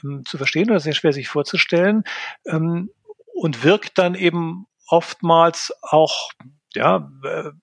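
A man answering interview questions as an expert, speaking near-standard German in a measured way with frequent hesitations. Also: a little high, vocal fry. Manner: measured, hesitant with many fillers. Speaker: linguist guest.

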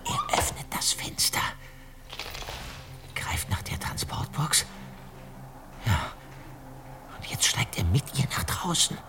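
A man playing a nervous young detective's sidekick in an audio drama, talking in hushed, jittery whispers. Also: a little high, wildly swooping pitch, takes it slowly, long pauses, heavily acted. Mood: hushed, tense. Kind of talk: German audio drama acting. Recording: noisy ambience behind hushed voice.

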